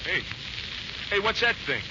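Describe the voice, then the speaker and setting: A man's voice: high voice, big pitch swings, measured pace. An actor playing a needling, slangy American Marine private who teases his buddy, in 1940s radio acting style. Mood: mocking. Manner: slangy, teasing. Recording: muffled, very noisy 1940s radio recording.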